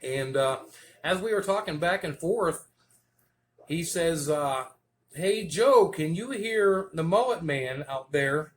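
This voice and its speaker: A man's voice: a little high, lively intonation, slow. A man hosting a hobby video, telling stories in an informal, folksy and casual way. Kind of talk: casual vlog storytelling. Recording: clear background noise.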